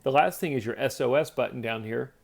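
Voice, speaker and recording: A man's voice: medium pitch, a little fast, creaky. A man giving a casual product demonstration, explaining things step by step in a plainspoken way. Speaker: product reviewer.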